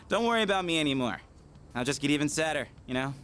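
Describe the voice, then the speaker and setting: A man's voice: fairly high pitch, a little fast. An actor voicing a laid-back, goofy, self-conscious teenage boy with an American accent and slangy delivery in a video game. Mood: sheepish, downbeat. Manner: slangy, casual, animated. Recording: music behind the voice. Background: game music.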